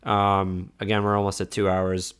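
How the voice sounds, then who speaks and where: Low-voiced rambling male podcast host. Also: completely flat delivery, normal speed, a bit bright, clear, resonant tone. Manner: rambling, many filler likes and restarts. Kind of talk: casual chat.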